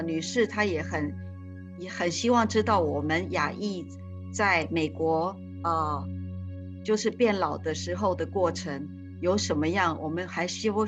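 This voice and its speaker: Live interpreter: a woman with a fairly low voice who hesitates and restarts often, with music in the background.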